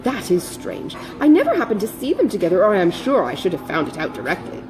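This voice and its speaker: Casting: female reader as excitable older society gossip, good-hearted, breathless, very wide swings in pitch, period novel audiobook. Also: fast talker, over music, rough recording. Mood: astonished, gossiping. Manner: breathless, exclamatory, chatty gossip. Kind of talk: dramatised audiobook reading of a classic novel.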